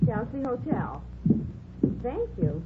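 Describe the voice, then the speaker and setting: Polite hotel desk clerk, lively intonation. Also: female voice, on the high side, slow, very noisy. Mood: courteous. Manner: courteous, formal phrasing. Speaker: hotel receptionist. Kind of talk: 1940s-50s radio drama acting. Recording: tinny vintage radio recording, faint music.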